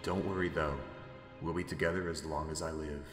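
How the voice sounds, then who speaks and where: A man's voice: extremely low pitch, fairly even intonation, a little fast. A man playing a devoted, composed young maid in an amateur comic dub, speaking earnestly and formally. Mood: tender resolve. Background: music.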